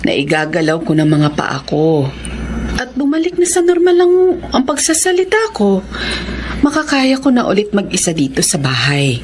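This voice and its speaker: A woman's voice: medium pitch, wildly swooping pitch, moderate pace, noisy background. An actress playing a fond, fretful middle-aged mother, emotive and affectionate, in a Filipino radio drama. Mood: worried, pleading.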